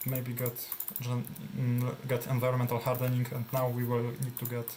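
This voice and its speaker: A man with a Polish accent talks through his gameplay at a relaxed, middling pitch. He thinks aloud, trailing off with fillers and restarts. Faint background noise and keyboard typing sit under his voice. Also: moderate pace. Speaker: gameplay streamer. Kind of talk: casual live gameplay commentary.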